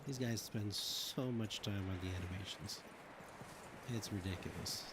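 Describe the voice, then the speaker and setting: A man talks in a fairly low voice, giving laid-back commentary with dry little asides. Loud game ambience, with horses and animals, sits behind everything he says. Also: normal speed, heavy background noise. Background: video game sound.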